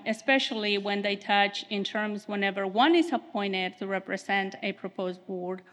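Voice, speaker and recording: A woman attorney speaks softly and carefully into a podium microphone, giving a formal presentation at a conference. Her voice sits at an ordinary middle pitch. A long reverberation from the hall trails behind her words. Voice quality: soft voice.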